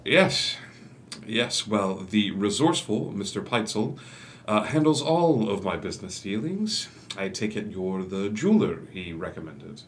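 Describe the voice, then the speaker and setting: An actor playing a charming, calculating gentleman doctor and proprietor in a musical table read, speaking in a courtly, deliberate manner. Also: lowish voice, big pitch swings. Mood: courtly, genial. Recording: noisy home recording.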